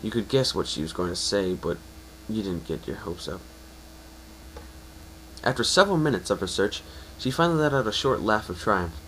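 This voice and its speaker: A man reading a story aloud in the second person as a dramatic narration, a little haltingly. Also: fairly low pitch, lively intonation, fairly bright tone, slight background noise, poor audio.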